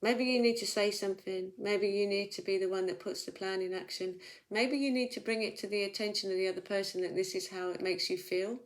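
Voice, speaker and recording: A woman's voice: medium pitch, a little hiss or hum. Chatty woman reading tarot. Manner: chatty, reassuring, thinks aloud. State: slight sore throat. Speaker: tarot reader. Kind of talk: casual weekly tarot reading.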